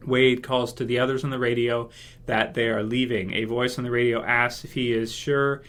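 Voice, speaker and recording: A man's voice: medium pitch, level tone, moderate pace, fairly bright tone. A man hosting a casual fan commentary video, speculating in a hesitant, think-aloud way. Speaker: YouTube video host.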